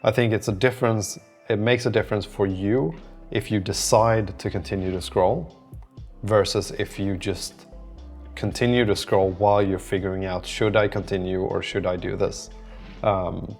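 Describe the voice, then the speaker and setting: A man with a fairly low voice talks casually to his vlog audience as he works. He rambles reflectively, circling his thoughts and letting some trail off. Soft music plays faintly behind him. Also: fairly even intonation, moderate pace. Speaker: app developer documenting his work on a vlog. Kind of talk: casual thinking-aloud vlog.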